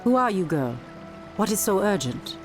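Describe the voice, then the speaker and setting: A woman playing a proud, resolute elven high priestess who commands troops, formal and assertive, in a video game. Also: very animated, moderate pace, faint music behind, natural voice. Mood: questioning. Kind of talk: dramatic video game cutscene acting.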